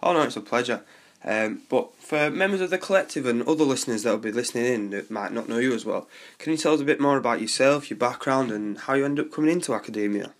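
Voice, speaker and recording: A man's voice: medium pitch, fast. Stuffy-nosed young male podcast host. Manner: relaxed, chatty, hesitant fillers. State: blocked nose from a cold. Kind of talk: podcast interview.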